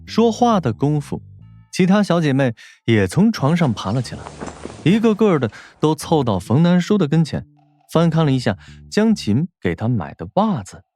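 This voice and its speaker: A male narrator reads a scripted story expressively. His voice sits a bit high and moves with lots of intonation, over faint background music. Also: big pitch swings, normal speed, a little mellow.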